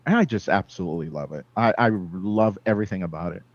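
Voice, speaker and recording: A man's voice: low-pitched, quick pace, dry recording. Spanish-accented man, dull dry recording. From New York City. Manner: rambling, warm storytelling with frequent laughs and restarts. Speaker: podcast host. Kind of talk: casual chat.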